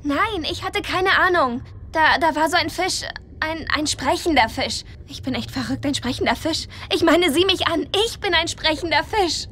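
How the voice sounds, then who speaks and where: A woman's voice: sits high, moderate pace, a bit bright, slight background noise. A woman playing a brash, flustered young surfer girl in a children's audio drama, blunt and colloquial.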